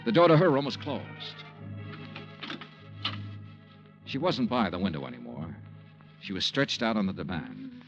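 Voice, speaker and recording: An American actor playing a dogged, hardboiled insurance investigator in a 1950s radio drama, terse, wry and insistent. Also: male voice, lowish voice, very animated, fairly quick, highs cut off, music in the background. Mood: tense, steady. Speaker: lead detective and narrator. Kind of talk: 1950s radio drama, first-person narration and dialogue.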